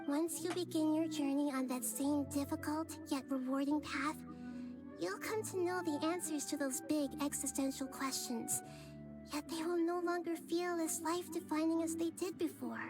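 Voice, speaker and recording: A gentle, wise young goddess, voiced by a woman, speaks in a high, soft and thoughtful voice. She sounds calm and earnest, with game music playing behind her. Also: moderate pace, close to an everyday voice. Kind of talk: game cutscene voice acting.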